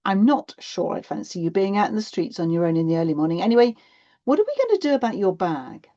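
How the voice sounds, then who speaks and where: Audiobook role: tired, worried single mum, played by a woman narrator, blunt and firm, mellow tone, slightly muffled sound. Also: medium pitch, big pitch swings, fast talker. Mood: blunt, weary. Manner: sighing, blunt, matter-of-fact. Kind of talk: acted children's audiobook dialogue.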